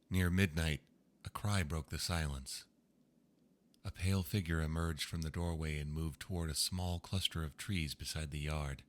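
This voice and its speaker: A man reading old ghost stories aloud in a slow, hushed storytelling manner. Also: extremely low pitch. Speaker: narrator. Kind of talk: scripted ghost story narration.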